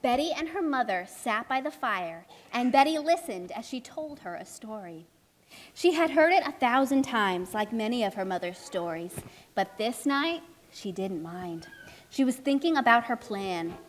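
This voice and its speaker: A woman's voice: fairly high pitch, lively intonation, normal speed, close to an everyday voice. A woman playing a chatty, anxious storybook narrator, speaking expressively and emphatically in a children's puppet show. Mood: storytelling.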